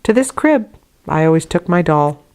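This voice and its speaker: A woman reading a classic novel aloud as an audiobook, in a measured, deliberate storytelling manner. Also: lowish voice, animated, a little mellow, clear, resonant tone. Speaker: audiobook reader. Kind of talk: scripted narration of a classic novel, read aloud.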